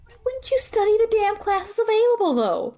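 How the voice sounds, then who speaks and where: Woman doing snarky young student girl, audio drama, blunt and exasperated, really animated intonation. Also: very high voice, normal speed, soft background music. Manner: deadpan, sarcastic, exasperated. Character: sardonic, blunt young magic student, quick to threaten friends. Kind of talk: audio drama character banter.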